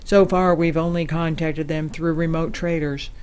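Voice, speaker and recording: A woman playing a formal, measured ambassador briefing her crew in an audio drama. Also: sits low, fairly even intonation, darkish tone, slight background noise, lightly acted. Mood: formal, assured. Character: composed female ambassador leading a secret space mission.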